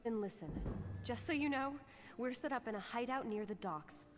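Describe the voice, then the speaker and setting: A woman voicing a brisk heist crew member. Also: a little high, close to an everyday voice. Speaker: game character. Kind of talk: video game voice acting.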